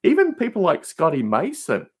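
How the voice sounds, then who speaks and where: A man's voice: high voice, huge pitch swings, moderate pace, husky. A man chatting casually as a podcast guest, with an emphatic way of talking. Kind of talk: casual conversation.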